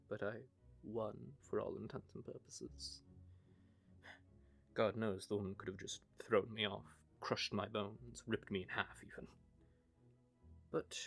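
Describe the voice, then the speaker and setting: A man playing a young knave who tells his story in a wry, rambling way, with dark humour. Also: fairly low pitch, gravelly. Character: young male knave, wry, haunted by grief. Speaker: confessing rogue. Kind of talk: hobby audio drama monologue.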